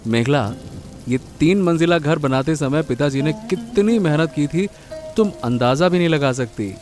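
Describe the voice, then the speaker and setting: A man playing an affectionate, eager young husband and son in a cartoon story, warm and enthusiastic. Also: on the high side, animated, moderate pace, faint music behind, close to an everyday voice.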